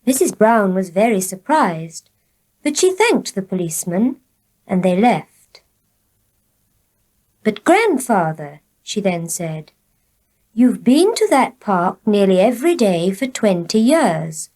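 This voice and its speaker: A woman reading short tales aloud for learners in a deliberate, expressive storytelling manner. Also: mid-range voice. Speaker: story reader.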